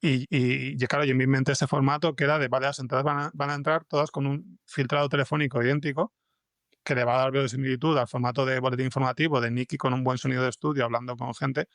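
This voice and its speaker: A man's voice: medium pitch, flat delivery, fast, fairly bright tone, no room sound, clean studio sound. A man with an accent from Spain, chatting informally as an interview guest and thinking aloud as he explains things. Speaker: guest podcast scriptwriter. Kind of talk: casual conversational interview.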